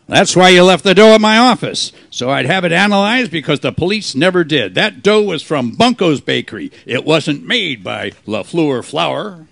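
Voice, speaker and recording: A man playing a wisecracking, deadpan private detective in an old-time radio style play. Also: a little high, moderate pace, creaky, few pauses, heavily acted. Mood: confident. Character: wisecracking hardboiled male private detective narrating his case.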